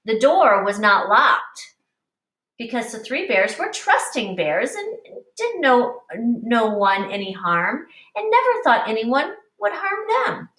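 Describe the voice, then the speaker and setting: Expressive woman reading to children, very animated. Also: on the high side. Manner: expressive, instructive, addressing children directly. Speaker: teacher reading aloud to young children. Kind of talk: picture-book read-aloud with teaching asides. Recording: roomy, echoing, distant microphone.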